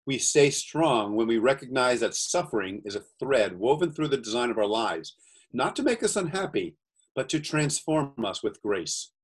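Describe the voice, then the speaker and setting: A man from the USA, a guest entrepreneur, chatting earnestly on a video call and reading aloud slowly. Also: mid-range voice, sing-song, husky. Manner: earnest, reads cards slowly and deliberately. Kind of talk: casual video-call chat.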